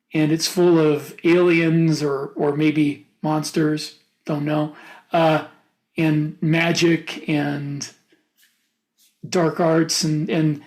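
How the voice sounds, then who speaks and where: A man co-hosting a podcast in casual conversation, often pausing mid-thought as he explains his ideas. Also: a little high, darkish tone. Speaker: podcast co-host. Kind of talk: casual panel chat about writing fiction.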